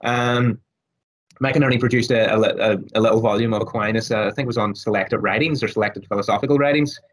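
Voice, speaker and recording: Raspy, hoarse man explaining thoughtfully. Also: on the low side, fairly even intonation, fast talker. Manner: thoughtful, many fillers and restarts. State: hoarse, losing his voice. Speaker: guest scholar on Aquinas. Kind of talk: casual interview talk.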